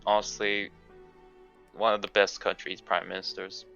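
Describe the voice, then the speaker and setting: A man's voice: fairly low pitch, monotone, moderate pace, gravelly, sharp tone, no room sound, soft background music. A man chatting casually as a guest on a livestream group call, over dull lo-fi audio. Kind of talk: casual chat.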